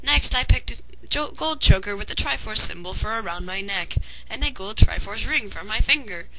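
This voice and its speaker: A woman's voice: medium pitch, animated, moderate pace, pushed and bright. A woman reading a fan fiction story aloud as narrator, in a dramatized way. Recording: noisy amateur home recording.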